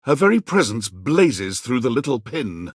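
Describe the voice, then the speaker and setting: An arch game narrator, husky and dramatic. His pitch travels widely as he tells the story, dry and close. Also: sing-song, a little slow, no echo.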